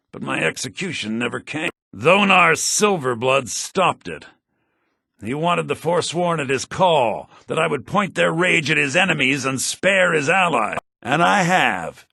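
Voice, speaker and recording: An actor playing a bitter, vengeful rebel leader from a prison mine in a fantasy video game, raspy and menacing, declaiming his lines. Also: a little high, expressive, measured pace, dry recording, studio quality, heavily acted. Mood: bitter, proud. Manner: menacing, declamatory, swinging to shouts.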